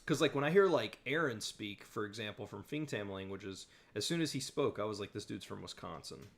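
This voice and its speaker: A man's voice: lowish voice, expressive, fairly quick, vocal fry. A man from the southern United States hosting a casual livestream Q&A, chatty and rambling, laughing often. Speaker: host.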